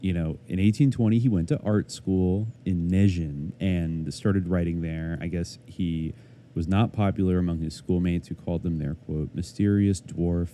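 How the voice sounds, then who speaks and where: A man's voice: sits low, steady tone, moderate pace, warm tone, a little hiss or hum. A man co-hosting a podcast, chatting casually and rambling with lots of "like" and "I guess". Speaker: podcast co-host. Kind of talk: casual chat.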